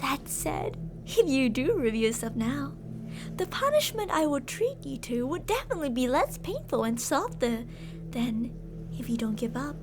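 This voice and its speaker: A woman's voice: sits high, sing-song, moderate pace, over music. A woman playing an obsessive yandere captor in an ASMR roleplay, sugary with endearments yet menacing and theatrical. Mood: stern, coaxing.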